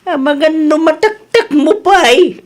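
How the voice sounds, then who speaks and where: A woman's voice: high voice, lively intonation, takes it slowly. A woman with an Ilocano accent playing a chatty, easygoing village wife and mother in a radio comedy drama. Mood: scolding, insistent.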